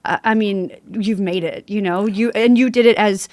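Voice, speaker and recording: A woman's voice: medium pitch, normal speed, darkish tone, no room sound. A woman with a mid-range voice hosts a casual interview. She speaks in a supportive, affirming way, and the recording is dry with little room sound. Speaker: podcast host, interviewer. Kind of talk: conversational interview.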